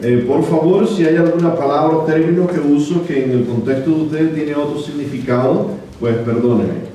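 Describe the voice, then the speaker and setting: A Cuban man preaching in a church, reading scripture aloud in a measured way and pausing often on small fillers. His voice is fairly high but mellow and dark in tone. He is heard from a distance in a very echoey sanctuary. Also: a little hiss or hum. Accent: Cuban Spanish. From Cuba. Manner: measured, with frequent hesitant "eh" fillers. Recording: echoey church room from far off.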